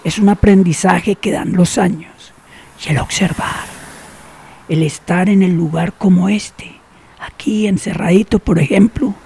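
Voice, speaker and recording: An actor playing a secretive, cynical older man in a radio drama, who talks slowly and knowingly and drops to near whispers. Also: sits high, fairly even intonation, vocal fry, warm tone, husky, heavily acted. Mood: sly.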